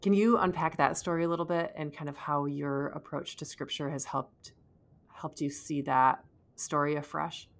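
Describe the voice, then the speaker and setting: A woman's voice: sits low, steady tone, normal speed, gravelly. A woman hosting a podcast, interviewing in a thoughtful, conversational way. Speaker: podcast host.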